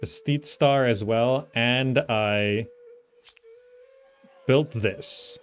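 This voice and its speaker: A man in an ordinary mid-pitched voice talks through a strategy game as he plays. He muses aloud and circles back over his own reasoning, casual throughout, with game music playing behind him. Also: very slow. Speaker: gamer, channel host. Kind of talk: casual gameplay commentary, thinking aloud.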